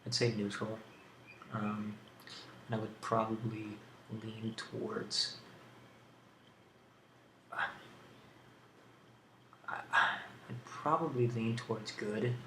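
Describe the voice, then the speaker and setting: A man hosting a casual ASMR question-and-answer video, speaking in a halting, rambling, hesitant way on an amateur home recording. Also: lowish voice, level tone, creaky, fairly bright tone, echoey room, a little hiss or hum. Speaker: video host.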